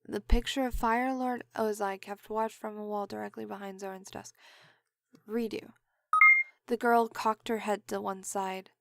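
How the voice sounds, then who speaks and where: A woman's voice: mid-range voice, fairly even intonation, a little slow, creaky, a little mellow, slight background noise. A woman reading scripted third-person story narration for an audio drama.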